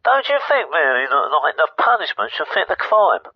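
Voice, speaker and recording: An actor playing a muddled, tactless and apologetic radio caller, hesitant, inarticulate and matey. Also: male voice, fairly high pitch, sing-song, moderate pace, breathy edge, dry recording, full character voice. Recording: dull, dry phone-in line.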